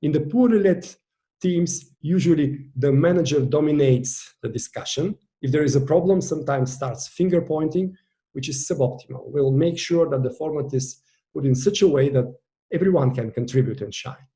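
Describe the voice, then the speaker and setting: A man with a Bulgarian accent, a native Bulgarian speaker, presenting an online masterclass as a team coach in an earnest, deliberate way, leaning on key words. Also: on the high side, mellow.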